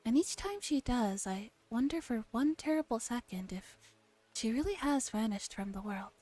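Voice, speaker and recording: A woman streamer gently reading a visual novel aloud in a soft ASMR style, with relaxed casual asides. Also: on the high side, normal speed. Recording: music behind the voice.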